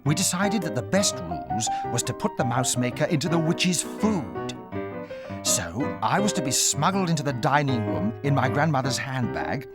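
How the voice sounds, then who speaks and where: A man's voice: medium pitch, very animated, moderate pace, loud music behind the voice, close to an everyday voice. A man as narrator of a dramatized storybook, vividly recalling a boyhood adventure as a mouse. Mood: storytelling.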